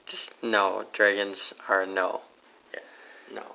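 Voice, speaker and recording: A man's voice: fairly low pitch, level tone, slow pace, creaky, bright, forceful tone, clean tone, low-quality recording. A man chatting casually as an interview guest, with a blunt, skeptical way of talking. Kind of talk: casual chat.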